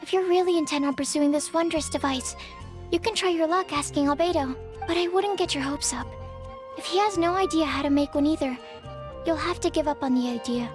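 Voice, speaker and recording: A woman voices a young female alchemist in a video game. She speaks in a high, polite voice, earnest and precise as she explains, with soft music in the background. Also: a little fast, close to an everyday voice. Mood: apologetic. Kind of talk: video game character acting.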